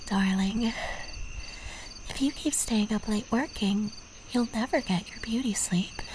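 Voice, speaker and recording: A woman playing an obsessive, possessive stalker in a whispered ASMR roleplay, speaking in a breathy, intimate way with tender menace. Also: medium pitch, background noise. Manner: slow, intimate, cooing endearments.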